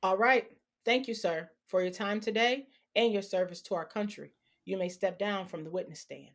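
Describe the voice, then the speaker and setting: A woman's voice: mid-range voice, normal speed, fairly bright tone, lightly acted. A woman playing an authoritative judge presiding in a courtroom drama, formal and commanding. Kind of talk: audio drama acting.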